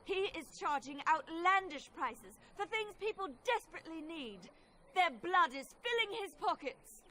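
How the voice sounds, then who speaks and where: Stern high-voiced priestess. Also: female voice, animated, a little slow, bright, forceful tone, exaggerated voice. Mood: righteous indignation. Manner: stern, accusing, formal. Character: righteous, indignant priestess defending desperate villagers. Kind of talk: video game cutscene acting.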